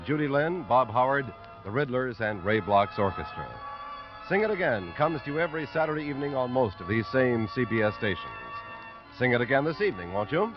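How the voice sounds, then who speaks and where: An American man announcing for network radio in a polished, promotional 1950s style. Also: medium pitch. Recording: muffled vintage radio recording with music.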